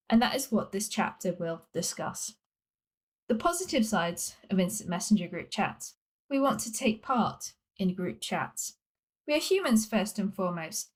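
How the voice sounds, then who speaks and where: A woman from Wales reading her own non-fiction book aloud in a measured, explanatory way. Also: mid-range voice. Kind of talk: scripted non-fiction audiobook-style reading.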